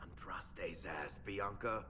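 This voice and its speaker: An actor playing a wry, world-weary dwarf storyteller in a video game, with a dry, sardonic manner. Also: male voice, deep voice, takes it slowly. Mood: dismayed, accusing. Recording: reverberant hall, clear background noise.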